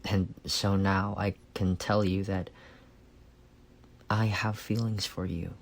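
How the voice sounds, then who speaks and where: A man acting a reserved, analytical young man in an intimate ASMR romance roleplay, speaking softly and deliberately with some hesitation. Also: deep voice, flat delivery, heavily acted. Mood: calm, deliberate. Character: reserved, analytical young man confessing love to best friend.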